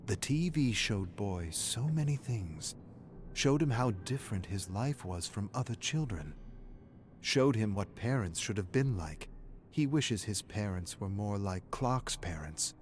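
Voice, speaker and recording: A man narrating a dark horror story in a dramatic, scripted style, with a measured, grave and brooding delivery. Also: fairly low pitch, lively intonation, slight background noise.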